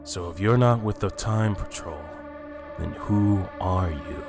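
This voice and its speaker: A man acts out an arrogant villain from an anime fan drama. The voice is deep and taunting, with theatrical menace in its delivery. Soft music plays underneath. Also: slow pace. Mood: mocking, suspicious. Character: arrogant male time-destroying villain, mocking and menacing.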